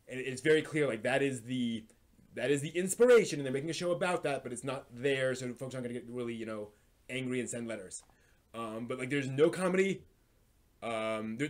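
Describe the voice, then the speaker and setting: A man hosting a live stream, chatting casually and giving recommendations in a halting way, often thinking aloud. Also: medium pitch. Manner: halting, thinks aloud, hums filler syllables while searching.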